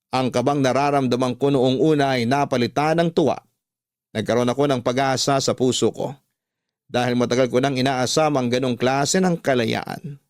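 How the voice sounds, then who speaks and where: A man's voice: mid-range voice, no room sound. Dry, close-miked Filipino male storyteller. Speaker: storytelling host reading a letter sender's story. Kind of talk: scripted dramatic narration in first person.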